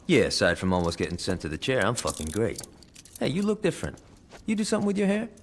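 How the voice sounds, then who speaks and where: An actor playing a cocky, foul-mouthed, violent gangster in a video game cutscene, defiant and sarcastic. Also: male voice, medium pitch, big pitch swings, fast, slight background noise. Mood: sardonic.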